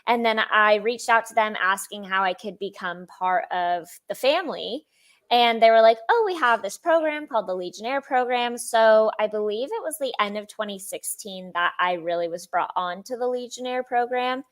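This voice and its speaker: A young woman with a high-pitched voice talks through her life story as an interview guest. She is chatty and lively, often laughing and playing out what other people said. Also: moderate pace. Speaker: sponsored athlete guest.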